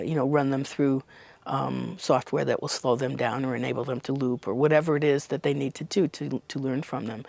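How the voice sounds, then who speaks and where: A middle-aged woman speaks in a low-pitched voice, recorded dry with little room sound. She talks like an earnest collector being interviewed, reflecting and working out her thoughts aloud without a script. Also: darkish tone, dry recording. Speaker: music collector and donor. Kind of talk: unscripted interview talk.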